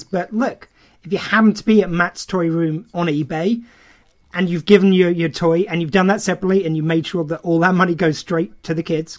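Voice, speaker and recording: A man with a high-pitched voice hosts a radio chat. He speaks informally and encouragingly, rambling a little as he casually leads the interview. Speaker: radio show host.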